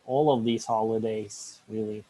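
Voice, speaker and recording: A man's voice: lowish voice, fairly even intonation, unhurried, warm tone, no echo, rough recording. A man chatting casually and a little hesitantly about his holidays. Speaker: group participant. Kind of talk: casual chat.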